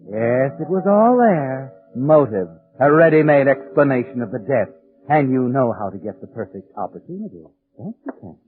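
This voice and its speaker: A man's voice: medium pitch, sing-song, normal speed, lightly acted. Guy playing creepy all-knowing 40s radio mystery narrator, mocking, music swelling under, old tinny audio. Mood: taunting, ominous. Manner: knowing, taunting, accusatory. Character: sardonic, omniscient, sinister mystery narrator taunting a murderer. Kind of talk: 1940s radio suspense narration. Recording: vintage radio with prominent music behind. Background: dramatic music.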